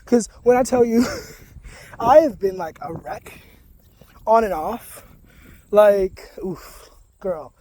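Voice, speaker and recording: A young man talking casually to camera in a soft-spoken, rambling way, full of "like". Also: sits high, takes it slowly, long pauses, slight background noise. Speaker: spiritual vlogger.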